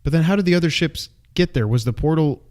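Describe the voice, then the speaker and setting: A man co-hosting a film review in casual unscripted talk, rambling with excitable asides and frequent self-corrections. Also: fairly high pitch, quick pace. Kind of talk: casual unscripted movie discussion.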